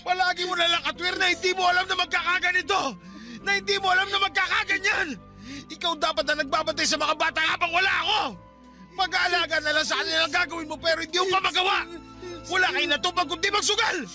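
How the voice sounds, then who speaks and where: A Filipino radio-drama father, torn by grief and rage. His voice is very high and piercingly bright, anguished and full of blame, with faint music behind him. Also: brisk, full character voice. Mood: furious. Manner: anguished, shouting accusations. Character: grieving, enraged working-class father blaming his wife.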